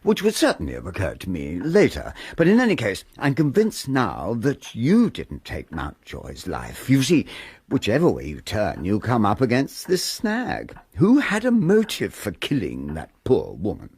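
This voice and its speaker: An actor playing an earnest, courteous gentleman amateur detective in a period radio whodunit, urbane and slightly pompous, with a husky upper-class English voice. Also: lowish voice, huge pitch swings, normal speed, no room sound, full character voice. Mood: puzzled, earnest. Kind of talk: period radio drama acting.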